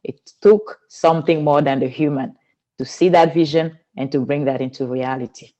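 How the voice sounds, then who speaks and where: A woman's voice: sits low, moderate pace, mellow, dull audio. Cameroonian-accented woman, earnest and flowing. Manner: earnest, flowing, non-native phrasing. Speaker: guest, spiritual teacher. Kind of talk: podcast interview.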